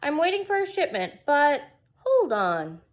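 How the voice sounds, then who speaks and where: An obliging woman who manages a warehouse, from a children's storybook, as a reader puts her on. She sounds high and expressive, with lively melody. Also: a little slow. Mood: helpful. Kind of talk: children's storybook read-aloud.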